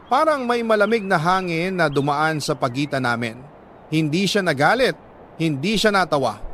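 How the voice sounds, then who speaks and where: Higher-pitched Filipino man narrating dramatic love story. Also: fairly bright tone, slight background noise. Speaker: storyteller reading a listener's letter.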